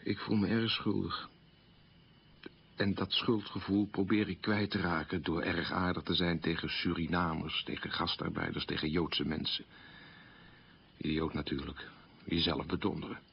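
Casting: middle-aged man, low voice, earnest and self-reproaching, confessional radio drama, muffled old recording. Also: flat delivery, moderate pace, creaky, slight background noise. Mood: remorseful. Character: guilt-ridden middle-aged son of a wartime collaborator.

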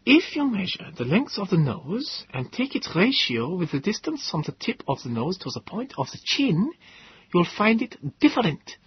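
A man voicing a thin, fragile, eccentric male professor in his thirties with a light German accent, excitable and rambling. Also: high-pitched, normal speed, breathy edge, pitched above the performer's own voice, full character voice. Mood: conspiratorial. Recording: dull lo-fi recording.

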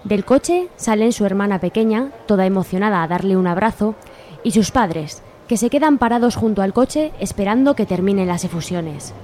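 A woman's voice: mid-range voice, animated, normal speed, warm tone. A woman giving scripted narration for a radio serial.

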